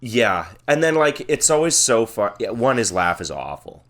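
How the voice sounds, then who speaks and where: A man in his twenties chats on a podcast with a lively, bouncing pitch. He talks loosely, swears freely and drifts through filler words. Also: moderate pace, very noisy. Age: 20s. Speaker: podcast co-host. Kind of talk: casual chat.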